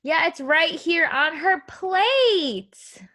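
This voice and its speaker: A woman's voice: very high-pitched, expressive, drawn-out words, sharp tone. A woman teaching young children in an interactive read-aloud, speaking in simple, encouraging phrases with guiding questions and a sing-song delivery. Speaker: preschool teacher reading a story aloud.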